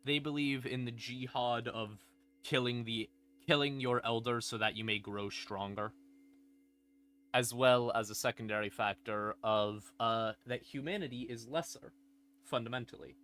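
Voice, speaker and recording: A man's voice: medium pitch, steady tone, moderate pace, fairly bright tone. A man explaining lore on a stream in a casual, unscripted, irreverent way, swearing freely. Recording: background music under voice. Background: ambient music.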